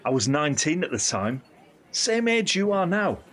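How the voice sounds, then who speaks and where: An actor playing a candid, caring British uncle in his fifties in an audio drama, with a husky voice. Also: fairly high pitch, big pitch swings, normal speed, fairly bright tone. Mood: reflective, candid. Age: 50s. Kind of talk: audio drama acting, conversational monologue.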